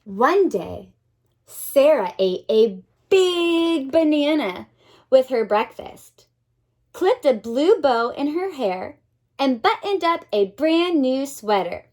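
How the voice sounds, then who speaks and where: A woman reads a children's story as a teacher would, in a high voice that moves up and down a great deal. She takes it very slowly, with dramatic pauses. Now and then she turns to her young listeners with an expressive aside. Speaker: teacher reading a picture book to children.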